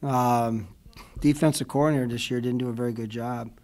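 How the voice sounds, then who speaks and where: Rambling middle-aged coach at a press conference. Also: male voice, medium pitch, fairly even intonation, a little fast, darkish tone. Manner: rambling, emotional, many fillers like "you know what I mean". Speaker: college basketball head coach. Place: indoor press room before a logo backdrop. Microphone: table mic in front of him.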